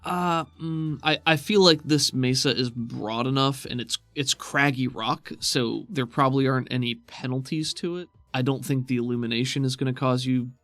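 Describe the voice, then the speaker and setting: A man speaking as game master of a tabletop roleplaying game, describing scenes and talking rulings through conversationally, at a middling pitch. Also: moderate pace, few pauses, fairly bright tone, no room sound, faint music behind.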